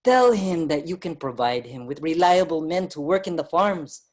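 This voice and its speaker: A man's voice: a little high, animated, moderate pace, natural voice. An actor, a man, playing an earnest, determined young Filipino migrant laborer who narrates a staged drama. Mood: urgent, rallying. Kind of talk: dramatic staged reading, first-person narration. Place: virtual live event, remote reading.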